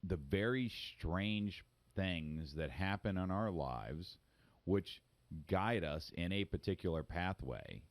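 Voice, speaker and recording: A man's voice: low-pitched, expressive, slow, no echo. A man hosting an interview, eagerly asking questions and often repeating words as he forms them. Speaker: interview host. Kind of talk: casual interview.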